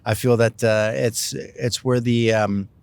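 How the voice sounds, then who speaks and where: A man's voice: mid-range voice, steady tone, slow pace, vocal fry, darkish tone, smooth, no room sound. A middle-aged Canadian man, a city council candidate, answering interview questions in a rambling way with many fillers and restarts.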